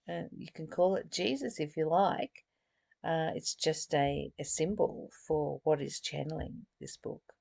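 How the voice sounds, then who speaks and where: A woman giving an informal spiritual lesson, reading aloud deliberately and adding chatty explanations of her own. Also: lowish voice, vocal fry, a little mellow, no room sound. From Australia. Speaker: spiritual study host reading and explaining a book.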